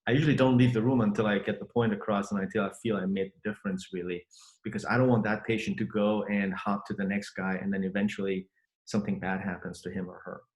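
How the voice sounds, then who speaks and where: A man's voice: fairly low pitch, reverberant, low-quality recording. A middle-aged man from Germany hosting a podcast interview, talking earnestly, with many fillers and restarts. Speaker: podcast host. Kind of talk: conversational interview.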